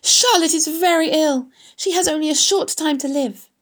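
A woman's voice: high-pitched, normal speed, voice pushed up, close to an everyday voice. A woman voicing an emotional young male pig in a storybook, pleading and wailing dramatically. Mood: urgent pleading.

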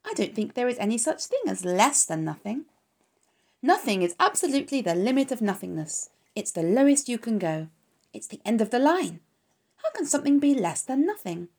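A sad little pig, lonely and earnest, pitched high above the storyteller's own voice and pleading hard as he speaks, as a woman puts him on. His whine carries a gravelly edge of fry underneath. This is a storybook read aloud. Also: sing-song, moderate pace, a little mellow, exaggerated voice. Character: young lonely male pig, earnest and plaintive.

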